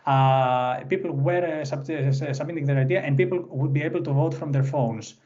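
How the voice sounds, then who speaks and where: A man's voice: a little high, moderate pace, a little mellow, muffled recording. A young man whose first language is Greek, speaking English with a Greek accent, presenting an online workshop in an unscripted way with many "uh" fillers and restarts. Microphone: computer mic on a video call.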